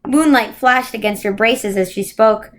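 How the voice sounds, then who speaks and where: A woman reading a children's novel aloud in an expressive storytelling way. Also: on the high side, unhurried, a little hiss or hum.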